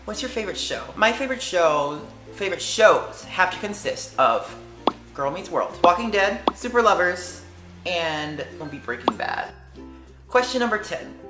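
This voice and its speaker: Chatty teen girl, lower voice, echoey room. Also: music in the background. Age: late teens. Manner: chatty and lively, with slang and quick tangents. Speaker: vlogger. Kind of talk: casual chat answering tag questions.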